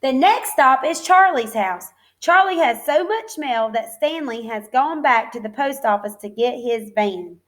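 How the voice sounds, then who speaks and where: Female primary teacher, high-pitched voice, expressive children's read-aloud with simple explanatory asides. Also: measured pace, few pauses. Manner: simple child-directed phrasing, expressive, encouraging. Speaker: teacher reading a picture book to young children.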